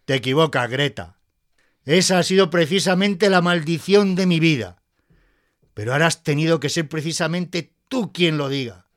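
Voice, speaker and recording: High-voiced, nervous, lovelorn schoolteacher, acted by a man. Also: normal speed, a bit bright, natural voice. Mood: wounded, reproachful. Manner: hesitant, stammering, turning impassioned. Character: nervous, lovelorn male schoolteacher, wounded and reproachful. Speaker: actor. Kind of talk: audio drama acting.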